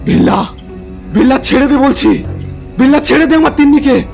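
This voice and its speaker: Man acting an agitated, high-voiced young caveman. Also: lively intonation, creaky, a little mellow, faint music behind, full character voice. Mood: defiant. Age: young adult. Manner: breathless, agitated, halting with repeated words. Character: frightened but defiant young caveman hunter with bow. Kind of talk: dramatized audio story acting.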